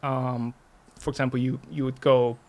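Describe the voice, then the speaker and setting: A man who grew up in the Netherlands, speaking with a Dutch accent as an interview guest, casually explaining and telling stories with pauses. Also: medium pitch, flat delivery, a little mellow. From Netherlands, born in Russia. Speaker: podcast guest.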